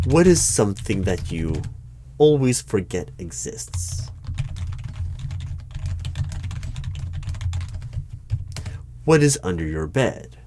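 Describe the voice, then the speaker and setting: A man playing a wary, polite hiring manager in a one-sided ASMR interview roleplay, speaking in a hesitant, deadpan way. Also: low-pitched, a little hiss or hum. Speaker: roleplay performer. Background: computer keyboard typing and clicks.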